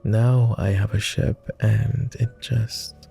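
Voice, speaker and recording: A man voicing a gentle, devoted vampire, speaking softly and formally in an intimate roleplay ASMR style. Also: lowish voice, level tone, slow pace, soft background music, exaggerated voice. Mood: wistful. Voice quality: soft, gravelly. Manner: posh, formal, tender. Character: gentle, teasing male vampire, devoted and wistful.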